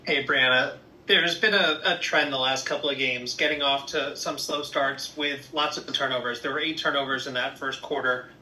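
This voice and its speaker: A man's voice: mid-range voice, fairly even intonation, moderate pace, sharp tone, slight background noise. A man reporter asking a direct question at a press conference. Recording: echoey room.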